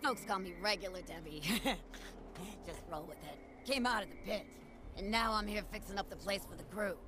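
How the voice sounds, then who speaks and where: A woman's voice: medium pitch, moderate pace, lightly acted. An actress playing a friendly, chatty ghoul handywoman in a video game, with a folksy, easygoing way of talking. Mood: friendly, amused. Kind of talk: video game character dialogue. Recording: noisy game ambience behind voice.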